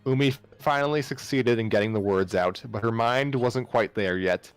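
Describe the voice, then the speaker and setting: A man's voice: medium pitch, normal speed, faint music behind. A man narrating fan fiction aloud as an amateur reader, sometimes stumbling and rereading phrases. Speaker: story narrator.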